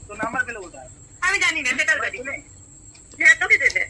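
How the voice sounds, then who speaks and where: Very bright, high young Bengali woman on phone. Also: very fast. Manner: questioning, animated. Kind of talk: casual phone chat. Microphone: other end of a phone call.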